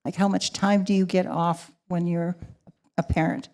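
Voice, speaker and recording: A woman moderating a panel with a handheld mic in a ballroom, putting questions briskly and in a friendly way. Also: fairly low pitch, flat delivery, a little mellow. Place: hotel ballroom stage.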